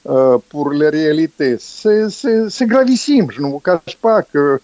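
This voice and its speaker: A man's voice: lively intonation, a little slow, a little mellow, dry recording. Emphatic older man, dry dull sound. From Ukrainian background. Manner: emphatic, restarts often, rises sharply in pitch when stressing points. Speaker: author guest. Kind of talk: interview, political commentary.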